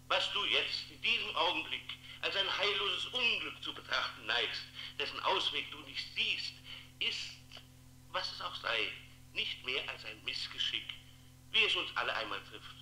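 An actor playing an earnest Protestant preacher, solemn and declamatory, heard through a telephone in a 1960s radio play. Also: male voice, fairly high pitch, animated, moderate pace, sharp tone. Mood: solemn exhorting. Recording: echoey, noisy, humming telephone line.

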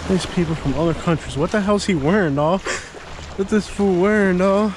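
A man's voice: high voice, moderate pace. A man chatting casually in a slangy, grumbling way as he films himself fishing. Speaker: fishing vlogger. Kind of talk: casual chat while fishing. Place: outdoors in a canoe and on shore at a coastal pass. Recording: loud wind, water and boat noise.

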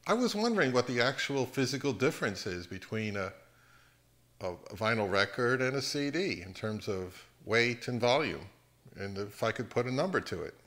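A middle-aged man with a mid-pitched voice talks casually as a channel host. His delivery is measured and halting as he explains things at home. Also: animated, a bit bright. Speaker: host of an audio hobby channel. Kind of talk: casual explainer to camera with some narration. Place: home living room.

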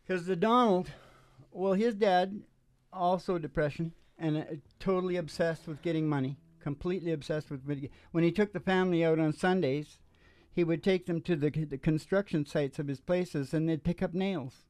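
A middle-aged man hosting a show, telling opinionated, digressive stories casually and haltingly. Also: fairly high pitch, steady tone, darkish tone. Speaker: host of a music and storytelling show. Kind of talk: rambling casual storytelling between songs. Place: small dim stage with curtains. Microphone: microphone on a stand.

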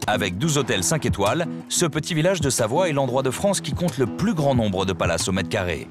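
A man reading scripted documentary narration in a measured storytelling way. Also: mid-range voice, big pitch swings, over music.

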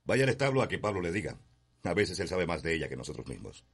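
An actor playing a stern, domineering plantation owner and father in a radio soap, with a raspy, gravelly voice. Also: deep voice, sing-song, fast talker, fairly bright tone, heavily acted. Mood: brisk, genial. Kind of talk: radio soap opera acting.

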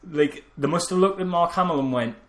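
A man's voice: fairly high pitch, fairly quick. A man co-hosting a casual film review podcast, telling anecdotes in a jokey, sweary way. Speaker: podcast co-host.

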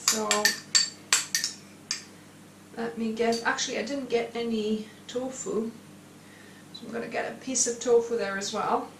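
A woman in her thirties talks through a kitchen demonstration in a casual, slow-paced way. The room rings noticeably around her voice, which sounds a little away from the microphone. She is the host, explaining each step as she goes. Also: on the high side, fairly even intonation. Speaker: cooking show host. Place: indoor home kitchen. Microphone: clipped lapel microphone. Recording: echoey room, slightly distant, faint noise.

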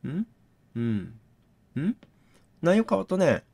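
A man doing gaming commentary, thinking out loud and reasoning step by step, mixed with reading text aloud. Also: on the high side, wildly swooping pitch, slow, gravelly. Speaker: game streamer reading on-screen text aloud.

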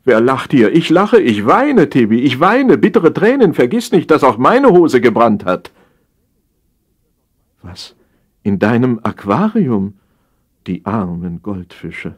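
A man's voice: medium pitch, very animated, takes it slowly. A man playing a whiny, defensive party guest on a call to his friend, plaintive and full of exclamations in a comic radio play. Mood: sheepish.

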